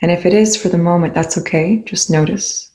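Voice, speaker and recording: A woman leading a guided meditation in slow, measured phrases with pauses between them. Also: lowish voice, dark tone.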